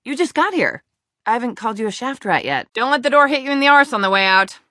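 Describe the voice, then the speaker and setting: A woman's voice: on the high side, big pitch swings, fast, bright, forceful tone, no room sound. A woman playing a brash, sarcastic female dwarf in a video game, biting and teasing in her delivery. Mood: brash scorn. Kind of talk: video game character acting.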